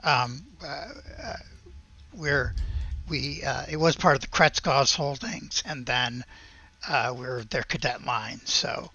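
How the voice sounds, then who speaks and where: A game master improvising tabletop narration in a casual, halting, conversational way. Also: medium pitch, bright, forceful tone, slightly rough, muffled recording.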